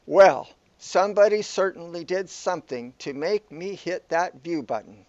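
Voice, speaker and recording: A man's voice: high voice, animated, moderate pace, darkish tone. A man playing a bewildered, aggrieved man trapped in an absurd afterlife, complaining wordily in amateur sci-fi audio comedy style. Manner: complaining, wordy. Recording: echoey room, dull lo-fi sound.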